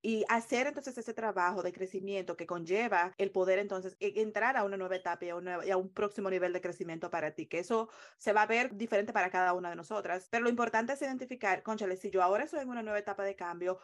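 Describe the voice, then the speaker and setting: A woman's voice: mid-range voice, quick pace, hardly any pauses. A woman with a Dominican accent speaking as a coach and interview guest, explaining things in an earnest, emphatic, conversational way. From Dominican Republic.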